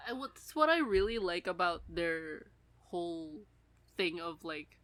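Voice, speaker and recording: A woman chatting casually as a podcast co-host, rambling and giggly in an animated way. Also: lowish voice, unhurried. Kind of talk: casual chat about an audio drama.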